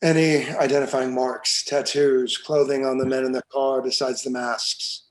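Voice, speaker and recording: A man acting a calm police detective in a screenplay table read, with measured, procedural questioning. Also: medium pitch, close to an everyday voice, poor audio. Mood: businesslike. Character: steady, businesslike male police detective.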